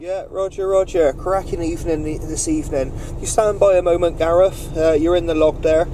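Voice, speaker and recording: A man's voice: fairly high pitch, fairly even intonation, brisk. A man running a CB radio net, making procedural call-outs and chatting courteously with other operators. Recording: noisy vehicle CB radio setup, distant mic.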